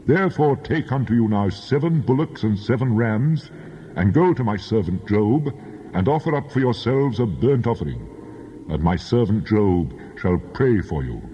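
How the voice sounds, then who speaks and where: A solemn, authoritative male deity, speaks with a very dark and somewhat husky voice. He is stern and commanding, in the manner of dramatized scripture. The sound is dulled and carries a long reverb. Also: lowish voice, animated, normal speed, dull audio.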